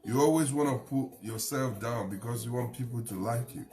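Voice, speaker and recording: A man's voice: medium pitch, moderate pace, darkish tone, faint music behind. A man from Ghana with a Ghanaian accent, hosting a live show and talking in an earnest, rambling way while he waits for a guest. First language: a Ghanaian language. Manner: rambling, earnest, laughs between points. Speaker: host.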